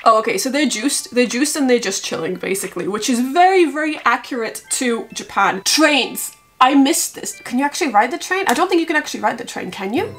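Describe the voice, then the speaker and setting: The kind of excitable woman you'd hear on a gaming reaction video, high-voiced and bunged up with a cold, music playing faintly along. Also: fairly quick. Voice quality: nasal, stuffed up from a cold. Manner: excitable, exclaiming. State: has a bad cold. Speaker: gaming vlogger reacting to a trailer. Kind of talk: excited reaction and casual commentary.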